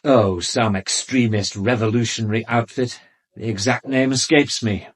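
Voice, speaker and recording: An actor with an upper-class English accent playing an urbane, haughty private doctor who speaks in a measured, formal, disdainful way in a radio drama. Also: male voice, mid-range voice, steady tone, husky, close to an everyday voice.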